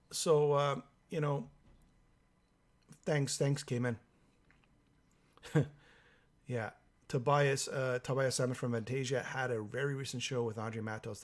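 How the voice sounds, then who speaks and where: Host, male, hoarse from a cold, reading viewer comments on a live stream, halting delivery, mid pitch. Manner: halting, thinking aloud, many fillers. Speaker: livestream host.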